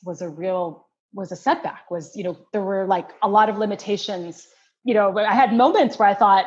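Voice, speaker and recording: A middle-aged woman from the United States speaks as an interview guest, mid-pitched and thoughtful, often restarting sentences and reaching for words. The recording sounds dull and lo-fi. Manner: thoughtful, many restarts and hedging fillers. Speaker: guest anthropologist. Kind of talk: casual interview answer.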